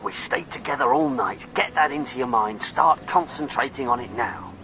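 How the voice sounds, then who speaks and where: A man playing a controlling schemer in a radio drama, speaking in a commanding, deliberate way. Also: mid-range voice, sharp tone. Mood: cold, insistent. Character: controlling, scheming man coaching his accomplice. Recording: very noisy recording.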